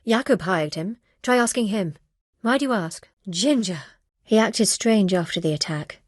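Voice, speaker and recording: An actress playing a grieving, wary middle-aged widow who runs a medieval stud farm, speaking in an earnest, plain-spoken way in video game dialogue. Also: female voice, medium pitch, lively intonation, moderate pace, dry recording, studio quality, natural voice. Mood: guarded, troubled.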